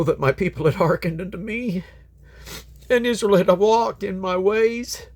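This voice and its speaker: A man reading Bible scripture aloud in a solemn, declamatory manner, letting his phrases fall away at the end. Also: sits high. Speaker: Bible reader.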